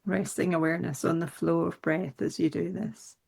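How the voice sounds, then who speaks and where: Meditation guide, female, lowish register with marked vocal fry, soothing and unhurried delivery. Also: steady tone. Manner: soothing, unhurried phrasing with gentle instructions.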